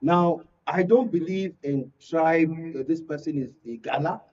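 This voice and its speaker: Nigerian male guest commentator, opinionated, dark mellow tone, dull distant studio sound. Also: on the high side, measured pace. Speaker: guest panelist. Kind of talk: political panel discussion.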